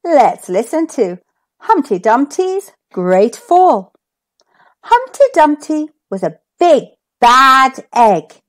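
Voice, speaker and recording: A woman reading a children's story aloud expressively, as the host of a storytime. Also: high-pitched. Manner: animated, deliberate.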